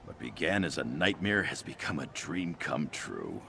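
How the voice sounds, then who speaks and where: A man's voice: rumbling low, very animated, normal speed, creaky, pushed and bright, slight background noise, heavily acted. A man playing a cheerful, ambitious young orc trader in scripted video game dialogue. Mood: relieved, happy.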